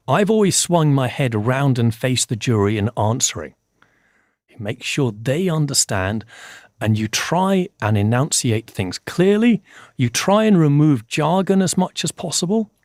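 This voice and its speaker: An older man from Scotland, a forensic scientist, answering interview questions in a measured, explanatory way and repeating key points for emphasis. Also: mid-range voice, a little mellow, dry recording, clean studio sound.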